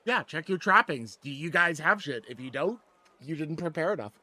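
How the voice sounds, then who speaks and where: Guy game mastering, kinda high clear-cut bright voice, teasing and casual. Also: lively intonation, normal speed. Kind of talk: improvised tabletop game narration and banter.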